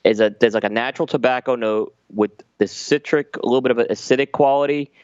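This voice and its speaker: Guy breaking down cigar flavor notes, medium pitch, kinda lo-fi dull audio. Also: fast talker, a little mellow, smooth, dry recording. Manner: detailed, explanatory tasting talk. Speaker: cigar podcast co-host. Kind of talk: casual panel chat.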